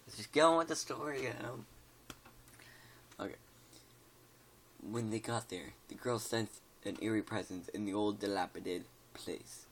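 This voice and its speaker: A man's voice: lowish voice, normal speed, pauses often, a little hiss or hum. A tired man casually reads a scary story aloud, stumbling over words and joking about them.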